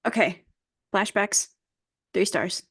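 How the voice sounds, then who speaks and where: A woman's voice: mid-range voice, slow, fairly bright tone, breathy edge, dry recording, studio quality. A woman co-hosting a casual podcast game, muttering clues to herself as she thinks. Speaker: podcast co-host. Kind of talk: casual chat, guessing game.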